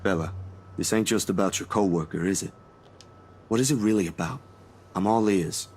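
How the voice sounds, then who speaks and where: A man playing an easygoing, caring young photographer in a visual novel, speaking casually and gently coaxing. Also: sits low, normal speed, a little hiss or hum, close to an everyday voice. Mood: concerned.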